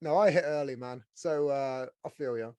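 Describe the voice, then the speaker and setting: A man hosting a podcast as a casual, blokey, excitable chat with plenty of swearing. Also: medium pitch, moderate pace, clear, resonant tone, no echo. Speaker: podcast host. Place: small studio room.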